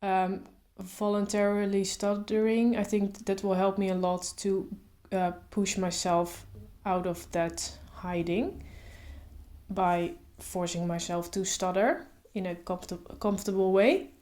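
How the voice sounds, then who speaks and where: A woman vlogger talking to camera in a calm, reflective way, stuttering openly with blocks and repeated sounds. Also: medium pitch, a little slow. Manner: calm, reflective, thinks aloud with fillers. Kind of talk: casual talk to camera.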